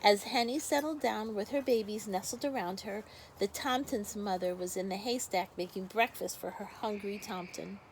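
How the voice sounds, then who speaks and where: A woman's voice: mid-range voice, moderate pace, noisy background. A woman teacher speaking expressively to young children in a story read-aloud with casual asides. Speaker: first grade teacher.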